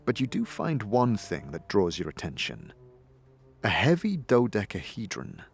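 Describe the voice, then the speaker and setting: A man narrating a fantasy tale in a scripted, dramatic style, with suspenseful, deliberate storytelling. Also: fairly low pitch, huge pitch swings, creaky, faint music behind.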